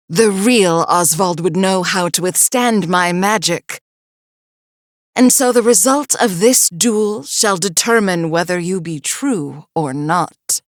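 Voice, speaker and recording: A woman voicing a loyal, skeptical scholar's assistant in a video game, with formal, deliberate and theatrical speech. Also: medium pitch, dry recording, clean studio sound. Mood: challenging. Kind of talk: dramatic video game voice acting.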